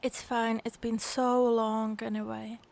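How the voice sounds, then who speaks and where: A woman voicing a calm, wry young adventurer in a video game, with an understated, dryly teasing manner. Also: a little high, measured pace, gravelly, slight background noise. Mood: low-key.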